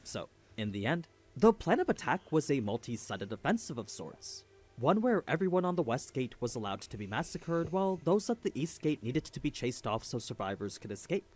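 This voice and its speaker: A man's voice: medium pitch, sing-song, moderate pace, soft background music. A man giving a scripted story recap as a video narrator.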